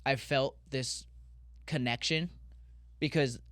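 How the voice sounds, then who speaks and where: A man in his thirties from Colorado, chatting as a podcast guest in a candid, rambling way, circling back and restarting his sentences. His voice is smooth and clear, sitting at a middle pitch, and it sounds dry, with almost no room around it. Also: fairly even intonation, slow pace, a bit bright, no room sound. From Colorado, USA. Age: 30s. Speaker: guest, pro skateboarder. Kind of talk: casual podcast interview.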